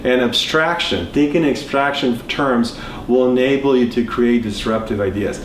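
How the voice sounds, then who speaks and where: A man walks through his thinking at a whiteboard, restarting sentences and drifting into asides. His voice sits at an ordinary middle pitch. The studio room gives a noticeable echo over a faint hiss. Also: normal speed. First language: Serbo-Croatian. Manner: loose, rambling explanation with many restarts and asides. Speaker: entrepreneur explaining ideas. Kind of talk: whiteboard talk to camera. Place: indoor studio in front of a whiteboard.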